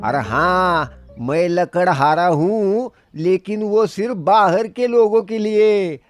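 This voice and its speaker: A sly, brave cartoon woodcutter, acted by a man, talks in a high voice at a slow pace. His delivery is exaggerated and wheedling. The sound is dry and close. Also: no echo, soft background music. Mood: boastful. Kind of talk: children's fairy-tale cartoon acting.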